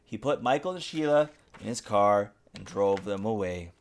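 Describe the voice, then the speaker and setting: A father reading a picture book aloud to a young child, with expressive, dramatic emphasis. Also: fairly low pitch, normal speed. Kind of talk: animated children's storybook narration. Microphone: USB desktop mic.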